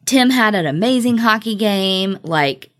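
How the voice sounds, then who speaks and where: A woman's voice: medium pitch, animated, measured pace. An American woman co-hosting a podcast, telling a story in a casual, conversational way with informal, joking asides. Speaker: true-crime podcast co-host.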